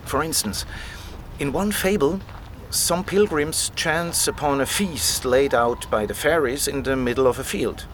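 A man's voice: fairly high pitch, unhurried, a little hiss or hum, natural voice. An actor playing a keen, patient male scholar who explains things like a storyteller in an audio drama. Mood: engrossed, explaining. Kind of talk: conversational audio drama dialogue.

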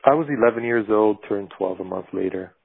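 A low-voiced man from Bulgaria speaks as a podcast guest who works in animation. His voice is husky, and he picks his words slowly, often stopping to restart a thought. The sound is tinny and narrow, like a remote call coming over a phone line. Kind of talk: reflective conversational interview.

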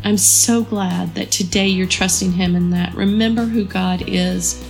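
Woman, podcast host, devotional message, froggy from a cold, slow unhurried delivery, soft music underneath. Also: medium pitch, steady tone, vocal fry. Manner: earnest, encouraging.

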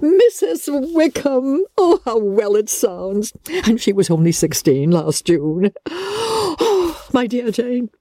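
Giddy, high-pitched fluttery middle-aged mother. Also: sing-song, slow pace, dark tone, dry recording, studio quality, exaggerated voice. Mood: happy flutter. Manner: gushing, breathless exclamations, fluttery. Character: giddy, excitable middle-aged English gentlewoman, overjoyed fussy mother. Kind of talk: dramatic audiobook reading of classic novel.

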